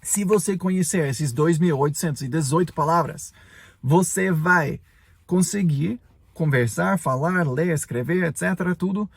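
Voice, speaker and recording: A man's voice: on the high side, moderate pace, no echo. A native English-speaking man in his forties, an English teacher giving a chatty podcast lesson in Portuguese, often tagging his sentences with little check-ins. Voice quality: gravelly, husky.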